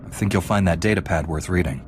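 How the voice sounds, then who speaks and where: An actor playing a calm, resolute knightly hero in a video game, speaking directly. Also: male voice, low-pitched, fast talker, a little hiss or hum, lightly acted. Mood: composed. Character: resolute, composed male Jedi knight hero.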